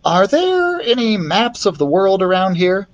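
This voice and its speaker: A cheerful boy secret agent, played by a man reading a storybook, pitched up and bouncing with a lively, springy lilt. He talks briskly. It comes through a built-in laptop mic. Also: highs cut off.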